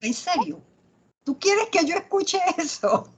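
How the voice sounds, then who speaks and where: A woman's voice: very high-pitched, sing-song, takes it slowly, slight background noise. A woman leading a group Bible study, mixing in English fillers and laughing often. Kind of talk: group discussion moderating. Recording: muffled video call audio.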